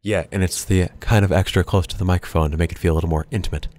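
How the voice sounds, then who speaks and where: Low-pitched wry man bantering on a podcast. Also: steady tone, racing, a little mellow. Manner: wry, sarcastic, profane. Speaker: podcast co-host. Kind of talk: casual, joking podcast banter.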